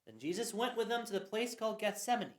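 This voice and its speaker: A woman's voice: medium pitch, big pitch swings, quick pace. A woman narrating scripture for a church passion play reading. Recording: roomy echo.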